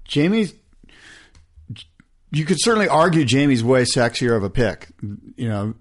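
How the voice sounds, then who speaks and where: A man's voice: medium pitch, very animated, moderate pace. A man with a mid-range voice talks casually as a podcast co-host. He is slangy and joking in his delivery, and he likes to slip into imagined quotes as he banters about sport.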